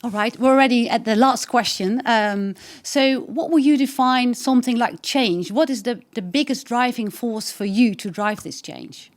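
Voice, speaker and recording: A woman's voice: on the high side, normal speed, hardly any pauses. A woman moderating a webinar over a video call, friendly and a little hesitant, with many ums.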